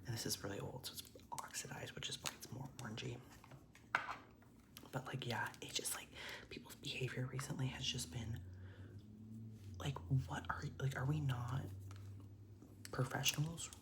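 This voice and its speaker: A man's voice: medium pitch, fairly even intonation, normal speed, gravelly, pauses often. A young transgender man whispering a casual, rambling storytime while doing makeup, with lots of "like"s and casual swearing. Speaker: vlogger.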